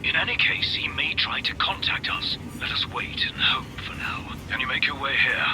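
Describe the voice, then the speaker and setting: A man playing a composed, precise royal adviser in a video game, formal, measured and articulate. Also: medium pitch, expressive, whispered, lightly acted, rough recording. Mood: calm concern.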